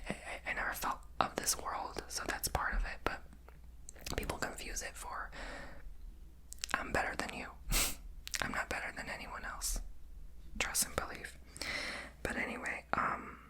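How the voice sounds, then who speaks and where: A speaker whispering throughout in a breathy voice, telling personal stories in a rambling, candid, chatty way for ASMR. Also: sharp tone, poor audio. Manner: rambling, chatty, many fillers; confessional and candid.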